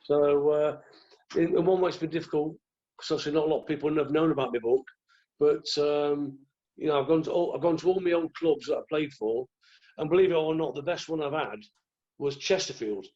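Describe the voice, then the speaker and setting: A croaky-voiced man, telling rambling stories as a podcast guest. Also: a little high, fairly quick, warm tone, dull audio. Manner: rambling, restarts often. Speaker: guest, former goalkeeper. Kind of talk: relaxed anecdotal chat.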